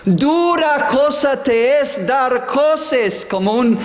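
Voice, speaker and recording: A man preaching a sermon as a pastor, stressing words slowly and restarting phrases. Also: very high voice, lively intonation. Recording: echoey hall with faint ambience.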